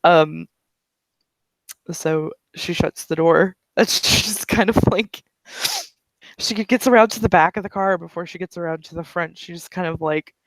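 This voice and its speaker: A game master narrating a tabletop roleplay scene, rambling with many fillers and pauses. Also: medium pitch, fairly bright tone, no echo, poor audio.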